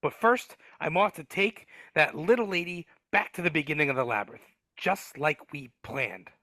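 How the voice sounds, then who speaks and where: A man voicing a nervous, cowardly dwarf servant who stammers and speaks in rough grammar, in a live reading over a video call. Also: a little high, big pitch swings, normal speed, bright, forceful tone, dry recording, studio quality. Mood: flustered.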